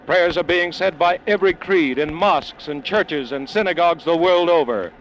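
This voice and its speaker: A man playing an awed, solemn American radio news reporter in a vintage radio drama, dramatic and pausing for effect, on an old noisy recording. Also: fairly high pitch, muffled recording, close to an everyday voice. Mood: solemn awe. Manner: dramatic, hushed pauses, repeats words for effect. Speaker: field news correspondent. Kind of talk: 1940s-50s radio drama live news commentary.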